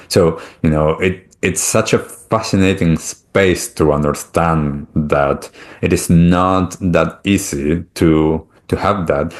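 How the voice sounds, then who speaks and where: A middle-aged man with an Argentinian accent, a native Spanish speaker, talking reflectively and at length as a podcast host. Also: low-pitched. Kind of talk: reflective conversational interview talk.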